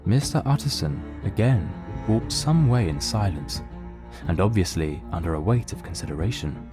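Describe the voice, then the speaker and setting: A man narrates an audio drama from a script. His voice is very deep. Music plays clearly behind him as he reads. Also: expressive, normal speed.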